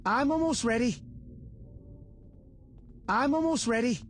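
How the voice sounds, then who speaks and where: An actor playing a cocky, jittery police officer in a video game, excitable and emphatic. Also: male voice, very high-pitched, slow, slight background noise, heavily acted. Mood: eager, nervous. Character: cocky, jittery male cop, boastful but fearful. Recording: loud music behind the voice.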